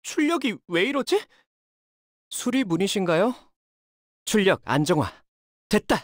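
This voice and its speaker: Young game mechanic: higher-pitched, boyish, modest, easily flustered, dry studio sound. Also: male voice, very animated, a little slow, no echo. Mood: eager, focused. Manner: boyish, polite, hesitant. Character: earnest, polite young boat repairman, modest and easily flustered. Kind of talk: video game character voice lines.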